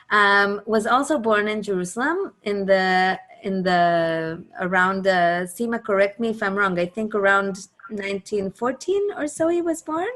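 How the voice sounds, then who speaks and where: A woman from Israel whose first language is Hebrew, a musician talking casually over a video call, searching for words with many pauses and restarts. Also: medium pitch, fairly bright tone.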